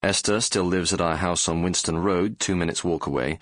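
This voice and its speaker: Casting: middle-aged male doctor, low voice, dry studio sound, reflective and regretful narrator. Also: normal speed, fairly bright tone, no room sound. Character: middle-aged Brazilian-born London doctor, nostalgic and regretful. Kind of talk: first-person audiobook narration.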